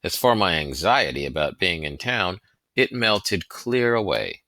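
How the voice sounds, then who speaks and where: A man reading a historical novel aloud as scripted narration, with deliberate, dramatic phrasing and stressed pauses. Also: sits low, lively intonation, bright, forceful tone, no echo. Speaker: audiobook reader.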